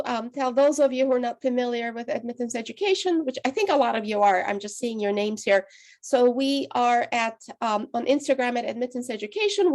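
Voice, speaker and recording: A woman hosts a webinar as a college counselor, speaking casually in a halting way with plenty of fillers. Her voice sits a little higher than usual. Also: darkish tone. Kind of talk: webinar welcome.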